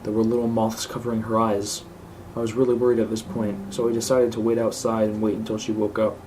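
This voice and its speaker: A man's voice: fairly low pitch, flat delivery, a little fast, darkish tone. A man reading a scripted horror story aloud as narrator. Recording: clearly noisy home recording.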